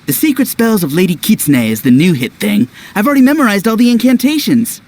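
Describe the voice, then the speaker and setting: High-voiced know-it-all townie, brushing things off. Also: male voice, big pitch swings, quick pace, darkish tone. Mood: dismissive.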